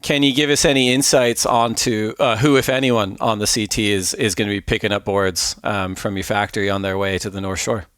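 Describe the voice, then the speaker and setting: A man's voice: lowish voice, steady tone, brisk, hardly any pauses, a bit bright, clean tone, no echo, clean studio sound. A man hosting a podcast and interviewing in a conversational style. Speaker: podcast host.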